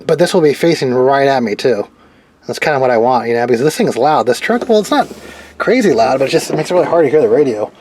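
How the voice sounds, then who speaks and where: A man's voice: mid-range voice, animated, fast talker, darkish tone. A man narrating his hands-on project in a casual, unscripted way, rambling and thinking aloud informally. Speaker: DIY radio hobbyist presenting own project. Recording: clearly noisy background.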